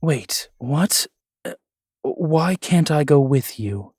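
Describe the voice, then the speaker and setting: A man's voice: on the high side, animated, slow pace, darkish tone, breathy edge, dry recording, studio quality, full character voice. A man playing a grumpy, jealous ghost boyfriend in an audio roleplay, with a sardonic, sullen manner. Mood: sullen, pleading.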